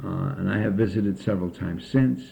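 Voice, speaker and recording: An older man from the United States, recalling his childhood slowly and carefully in an oral history interview. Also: low voice, vocal fry, dark tone, slight background noise. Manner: slow, measured recollection with frequent pauses.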